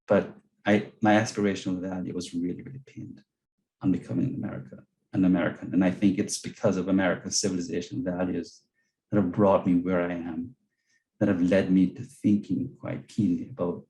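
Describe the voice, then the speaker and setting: Jamaican man, low mellow voice. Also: fairly even intonation, brisk, low-quality recording. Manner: earnest, repeats words when searching. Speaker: guest speaker. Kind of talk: webinar talk and Q&A answers.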